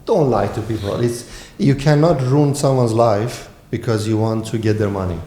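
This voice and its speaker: A man's voice: medium pitch, normal speed, warm tone, echoey room. Iranian-accented man, frank football coach. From Iran. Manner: frank and emphatic. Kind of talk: podcast interview.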